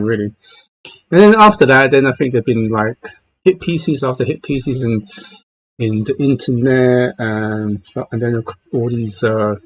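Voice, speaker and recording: A man's voice: mid-range voice, breathy edge, rough recording. A middle-aged man who grew up in China, speaking with an English accent and a trace of his native Cantonese, giving casual gaming commentary full of restarts and repeated words. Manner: talks in bursts, many restarts and repeated words. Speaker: gaming channel host.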